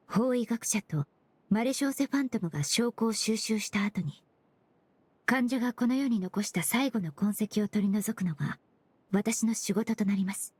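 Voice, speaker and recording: A woman voicing a composed, courteous young forensic cleaner in an anime game dub, speaking formally and analytically in measured phrases. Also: a little high, darkish tone, slightly rough, no room sound. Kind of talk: Japanese anime game dubbing.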